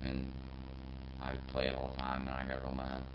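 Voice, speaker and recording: A man's voice: extremely low pitch, normal speed. A man's voice turned robotic and synthetic by a robot filter, talking in an interview. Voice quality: robotic, synthetic, monotone timbre. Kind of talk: interview about a viral video. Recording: muffled, noisy, echoing audio with hum.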